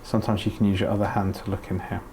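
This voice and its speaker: Low-voiced man teaching a practical skill. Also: level tone, rapid-fire, mellow, slight background noise. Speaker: doctor giving a training demonstration. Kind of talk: spoken practical instruction.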